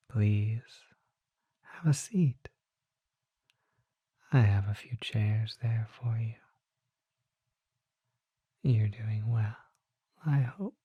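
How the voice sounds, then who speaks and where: A performer voicing a courteous, curious vampire apothecary in an ASMR roleplay, soft and often whispering, with genteel, leisurely, musing speech. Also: mid-range voice, husky, no room sound, highs cut off, full character voice. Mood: welcoming.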